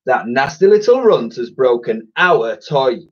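A man's voice: mid-range voice, sing-song, measured pace, slightly rough, dull audio. A man voicing a nasty, muddle-headed male twin who runs a toy shop in a children's storybook, theatrical, haughty and snappish. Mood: snarling spite.

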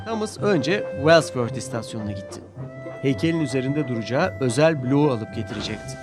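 A man narrates a children's story with a lively, swooping delivery. His pitch is middling, and music plays clearly behind him. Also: fairly quick.

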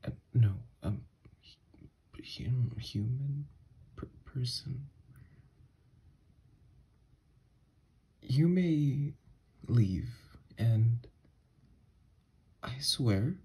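A man playing an obsessed, remorseful escaped mental patient and scientist in a halting, husky ASMR horror roleplay. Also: medium pitch, a little mellow, full character voice. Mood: apologetic, faltering. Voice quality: husky, breathy. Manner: halting, hesitant, confessional.

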